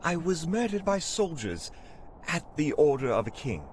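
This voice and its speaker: A man playing a courteous medieval archbishop saint in a children's audio drama, formal, grave and gentle. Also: a little high, sing-song, a little hiss or hum. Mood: dryly matter-of-fact. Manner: formal, measured, dryly polite. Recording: music under the voice.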